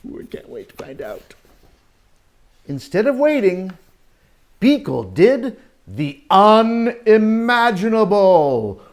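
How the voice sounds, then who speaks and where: A man reading a picture book aloud to young children as their teacher, expressive, drawing out story lines and adding exclamations and questions for the listeners. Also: high voice, slow, long pauses. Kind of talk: animated read-aloud storytelling with asides to children.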